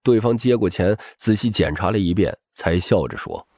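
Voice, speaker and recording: A man's voice: deep voice, big pitch swings, moderate pace, creaky, a little mellow, slightly rough, no room sound, clean studio sound. A man reading scripted, dramatic audiobook narration.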